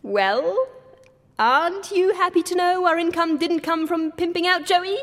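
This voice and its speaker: A chirpy, sheepish engineer. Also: female voice, very high voice, measured pace, fairly bright tone, in a large hall.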